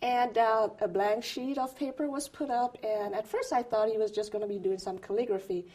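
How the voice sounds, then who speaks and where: A woman's voice: fairly high pitch, fairly even intonation. A middle-aged woman from Jamaica, an interview guest, speaking in a casual chat with many fillers and restarts. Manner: warm, halting, with frequent fillers and restarts. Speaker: interview guest, retired federal employee.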